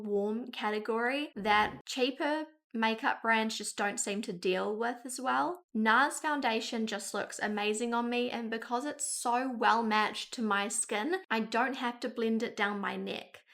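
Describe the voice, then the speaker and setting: A woman's voice: fairly high pitch, fairly bright tone. Chatty New Zealand woman vlogger. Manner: chatty and frank, with wry asides. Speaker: beauty vlogger. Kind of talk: casual talking-to-camera vlog.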